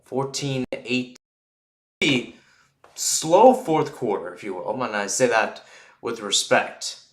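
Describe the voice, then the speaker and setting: A man's voice: fairly low pitch, roomy sound. A man calling live American football play-by-play for radio, chatting through asides and swelling on big plays.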